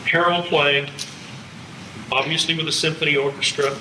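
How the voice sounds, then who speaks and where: Male American music lecturer, older, highish forceful voice, dry humor, recorded at a distance in a live room. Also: fairly even intonation, normal speed. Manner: wry, jokey asides. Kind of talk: public lecture with slides. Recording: echoey hall, distant, faint background noise.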